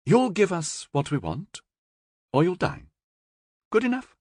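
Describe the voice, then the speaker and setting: A man's voice: high voice, sing-song, measured pace, a little mellow, dry recording, clean studio sound, pitched above the performer's own voice, exaggerated voice. A man voicing a cool, blunt female security director who talks in a clipped, firm, matter-of-fact way.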